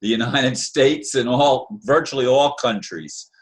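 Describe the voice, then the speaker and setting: A male host making slow, reflective comments in an interview over a call. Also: medium pitch.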